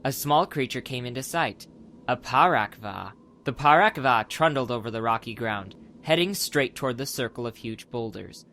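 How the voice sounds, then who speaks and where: A male narrator with a mid-pitched voice reads a scripted adventure story in a dramatic audiobook style. Faint ambient noise sits behind his voice. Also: lively intonation, moderate pace, sharp tone.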